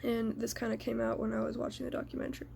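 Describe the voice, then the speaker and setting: A young woman from the United States giving a prepared personal reflection in a measured, earnest way. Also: fairly high pitch, level tone, gravelly, dark tone, a little hiss or hum.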